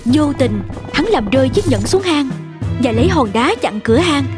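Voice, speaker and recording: High-pitched woman narrating a fairy tale. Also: animated, moderate pace, music in the background. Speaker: storyteller.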